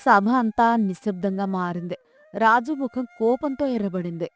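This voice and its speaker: A woman's voice: mid-range voice, moderate pace, soft background music. A woman narrating a scripted folk tale as its storyteller.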